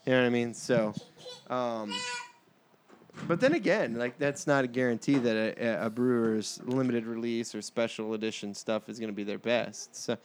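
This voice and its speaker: A man's voice: mid-range voice, normal speed, a little hiss or hum. A man about thirty, a guest on a casual podcast, chatting with a dry wry humor. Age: 30s.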